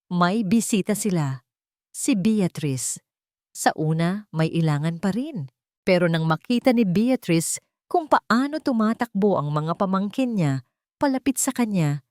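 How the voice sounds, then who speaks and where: A woman's voice: lowish voice, darkish tone, no room sound, studio quality. A woman narrating a scripted dramatic audiobook story.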